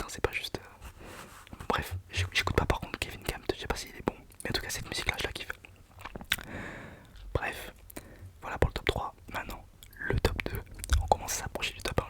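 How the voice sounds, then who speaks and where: A man's voice: fairly quick, fairly bright tone. Whispering young man ranking songs. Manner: whispering, casual and self-mocking, many fillers. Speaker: channel host. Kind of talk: whispered ASMR music countdown.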